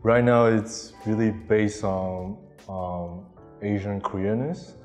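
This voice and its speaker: Man in his 30s from Taiwan, very low voice, halting interview answers on a lapel mic, a long reverb tail and faint music behind. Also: steady tone, a little mellow. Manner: hesitant, many ums and restarts. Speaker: interviewee artist.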